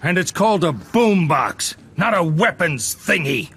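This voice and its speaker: A gruff old ex-racer in a video game. His voice is creaky and gravelly, slightly high, grumbling with lively swings. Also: unhurried, full character voice. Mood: cranky.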